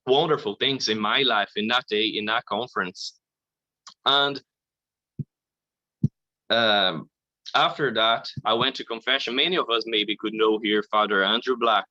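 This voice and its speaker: A man shares his faith story slowly and haltingly, with a bright, forward tone. His voice sounds close and dry but a little dull and lo-fi. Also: medium pitch, no room sound. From Brazil. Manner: slow, halting, earnest, with frequent "you know". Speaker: conference speaker giving testimony. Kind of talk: personal faith testimony.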